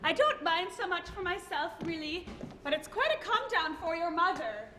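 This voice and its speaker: An actress playing an older, hard-of-hearing grandmother in a stage comedy, grand, doting and sharp-tongued. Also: extremely high pitch, very animated, normal speed, full character voice. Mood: disdainful. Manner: grand, theatrical, bluntly disdainful. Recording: echoey room, faint noise.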